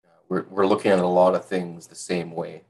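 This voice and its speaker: A man's voice: low voice, level tone, brisk, darkish tone. A man with a Canadian accent hosting an interview as an informal, slightly rambling casual chat. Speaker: interview host.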